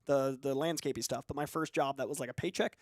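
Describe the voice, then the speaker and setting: A man's voice: mid-range voice, fast talker, no room sound, clean studio sound. A man in his thirties, a co-host chatting casually and a little self-deprecatingly while still getting over an illness. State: recovering from illness. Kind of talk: casual chat.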